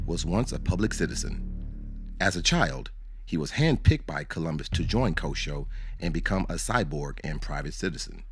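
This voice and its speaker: A man narrating a scripted radio drama as a storyteller, in a measured, dramatic way. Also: deep voice, fairly bright tone.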